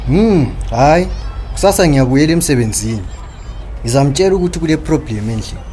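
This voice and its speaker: A man's voice: fairly high pitch, lively intonation, fairly quick, warm tone, slight background noise, natural voice. A man with a Zimbabwean accent playing a concerned, respectful, frank working man in a radio drama. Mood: friendly, concerned. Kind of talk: radio drama acting in Ndebele.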